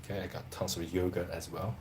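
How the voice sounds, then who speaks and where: Man, 30s, native Chinese speaker, deep voice, loose rambling live-stream host, faint room hum. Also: level tone, fast, a little mellow. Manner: rambling, hesitant, loose phrasing. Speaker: language teacher live-streaming. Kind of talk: casual live-stream chat.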